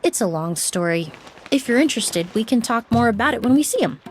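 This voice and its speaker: A woman's voice: on the high side, expressive, a little fast, slight background noise, lightly acted. A woman playing a refined, composed young perfumer in a video game, explaining things politely. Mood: explaining calmly. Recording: music playing behind the voice.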